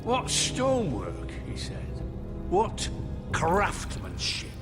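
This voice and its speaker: Pompous, slow-talking gloating lord. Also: male voice, high-pitched, wildly swooping pitch, full character voice. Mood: gloating, mocking. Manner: pompous, mocking, theatrical. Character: gloating, menacing rival lord, older man. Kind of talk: dramatic video game acting. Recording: long hall reverb, music underneath. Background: background music.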